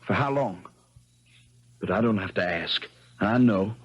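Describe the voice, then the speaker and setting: A man's voice: lowish voice, unhurried, husky. A man playing a shrewd, folksy young lawyer, telling and acting his story in a 1970s radio drama. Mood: cool, probing. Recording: dull 1970s radio broadcast recording.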